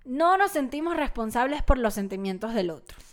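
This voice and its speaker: A Mexican-accented woman hosts a casual podcast, her voice a bit high. She talks in a relaxed, chatty way and now and then reads passages aloud. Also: brisk. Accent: Mexican Spanish. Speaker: podcast host. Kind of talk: casual chat.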